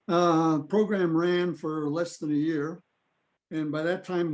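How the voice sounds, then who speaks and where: A man giving an informal, halting explanatory talk as a club presenter on a video call. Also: fairly high pitch, warm tone, rough recording. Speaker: old-time radio club presenter.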